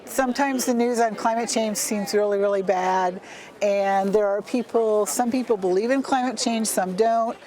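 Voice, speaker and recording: A woman's voice: mid-range voice, normal speed, mellow. A woman speaking informally in a news interview as a member of a campus group.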